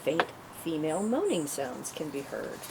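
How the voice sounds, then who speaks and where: A woman's voice: sits low, sing-song, normal speed. A woman reading stage directions as narrator at a script table read, in a matter-of-fact way. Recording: clearly noisy room recording.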